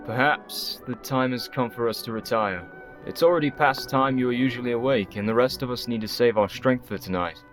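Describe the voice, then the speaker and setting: A man playing an earnest, weary, sensible gentleman doctor in a radio play, speaking in formal, measured phrases. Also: medium pitch, faint music behind, close to an everyday voice. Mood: concerned.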